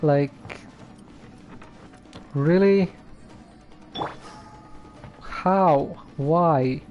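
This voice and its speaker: A man giving casual live gameplay commentary, halting and muttering to himself as he plays. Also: a little high, highs cut off. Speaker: game streamer. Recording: faint game music underneath.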